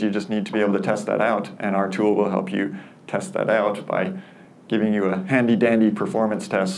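A man's voice: on the low side, darkish tone, slight background noise. A man in his thirties giving a friendly, upbeat lightning talk at a conference, demoing a free tool with some self-deprecating humor, on a headset mic in a room with an audience. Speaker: conference speaker. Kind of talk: lightning talk product demo.

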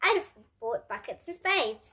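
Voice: sits high, big pitch swings, unhurried, pushed and bright, full character voice. A child reader voicing a puzzled, good-natured dad from a storybook, hesitant and willing to go along. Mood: bewildered. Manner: bemused, hesitant, going along.

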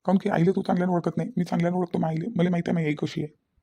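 A woman's voice: on the low side, level tone, racing, vocal fry, warm tone, husky. A woman voicing a young husband in a cartoon family drama, firm and disbelieving. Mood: defensive. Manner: firm, repeats denials. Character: mother-defending young husband, insistent and disbelieving. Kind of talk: Marathi cartoon family comedy-drama acting.

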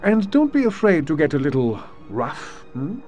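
A sly Russian KGB agent. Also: male voice, on the high side, big pitch swings, moderate pace, darkish tone, soft background music. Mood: conspiratorial. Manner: formal, poetic, conspiratorial. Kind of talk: video game cutscene acting.

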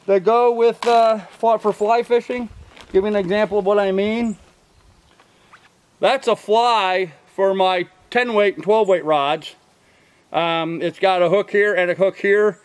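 A man's voice: high voice, unhurried. An older man casually showing his fishing gear outdoors, rambling with lots of ums and uhs and correcting himself, picked up by a camera a few feet away. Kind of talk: casual show-and-tell. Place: outdoors by a truck in a field. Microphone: camera mic from a few feet.

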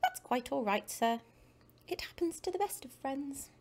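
A woman's voice: high voice, expressive, unhurried, darkish tone, voice pushed up. A woman voicing a formal, overly polite little male creature who lives in a toy chest, in a bedtime storybook. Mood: courteous. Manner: overly polite, keeps saying sir.

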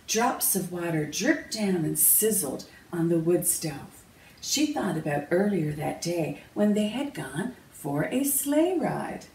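A woman narrates a children's storybook slowly, with lively, swinging intonation. Her delivery is measured and expressive. The recording is roomy, picked up at some distance from the microphone. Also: medium pitch. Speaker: author reading own picture book aloud. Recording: roomy, distant mic, faint noise.

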